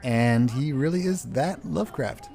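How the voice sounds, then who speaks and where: A man playing an easygoing, matter-of-fact family man with a casual deadpan delivery in a comedy web drama. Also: medium pitch, unhurried, vocal fry.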